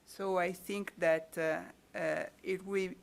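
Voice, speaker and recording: A woman giving a conference presentation in a halting, hesitant way, often repeating words. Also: on the low side, steady tone, creaky. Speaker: panel speaker.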